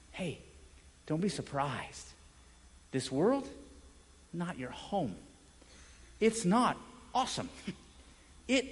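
Man, fairly high voice, preacher, evangelical sermon, emphatic phrasing, dramatic pauses, long hall reverb. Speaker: pastor preaching.

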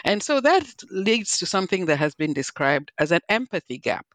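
A woman's voice: on the low side, very animated, normal speed, dry recording. A woman with a Nigerian accent speaks as an interview guest. She tells her story in a reflective, emphatic way, addressing the listener directly. The recording is dry, with very little room sound. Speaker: guest, physician and health executive.

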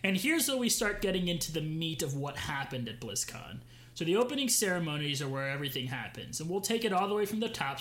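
A man's voice: on the high side, fairly bright tone. A young man co-hosting a podcast, telling a story in casual chat with many fillers and restarts. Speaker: podcast co-host.

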